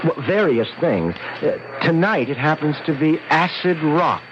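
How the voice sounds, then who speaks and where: A man's voice: on the high side, animated, a little slow, background noise. An actor playing a meek, aggrieved man in a radio drama, speaking in a hesitant, pedantic and plaintive way. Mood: fussy, insistent. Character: fussy, meek, aggrieved male artist and chronic complainer. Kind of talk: old-time radio drama acting. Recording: dull vintage radio recording with music.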